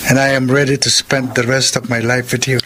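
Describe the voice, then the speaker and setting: Guy playing young filipino lover boy in a radio drama, earnest, medium pitch, noisy background. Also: level tone, moderate pace, bright, forceful tone, natural voice. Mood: ardent, pleading. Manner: earnest, romantic, mixes English into speech. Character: earnest young scriptwriter in love with an older woman.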